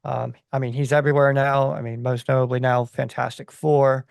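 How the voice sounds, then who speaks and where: A man giving his opinions in a casual podcast chat, enthusiastic but halting as he thinks aloud. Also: medium pitch, flat delivery, gravelly, clear, resonant tone, no room sound, studio quality.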